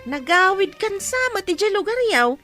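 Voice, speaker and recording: A woman's voice: extremely high pitch, animated, moderate pace, sharp tone, soft background music, full character voice. A woman playing a nosy, chatty village neighbour in an Ilocano comedy radio drama, exclaiming and probing. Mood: teasing.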